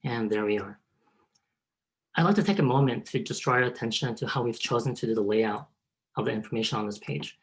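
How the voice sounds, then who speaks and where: Medium-pitched man giving a website demo. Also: fairly quick. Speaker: programmer demonstrating a website. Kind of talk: software demo walkthrough, prepared explanation. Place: online video call.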